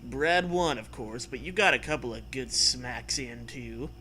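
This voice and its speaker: A man's voice: mid-range voice, measured pace, bright, forceful tone, a little hiss or hum. A man hosting a comedic read-aloud, delivering a story theatrically and breaking off to riff and joke. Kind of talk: comedic dramatic read-aloud with casual banter.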